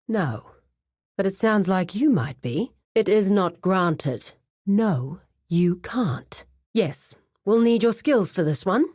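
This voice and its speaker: A woman playing a composed, dutiful lieutenant to a crime boss in a video game, speaking in a measured, formal, businesslike way. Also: on the low side, darkish tone, exaggerated voice. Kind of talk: video game character voice acting.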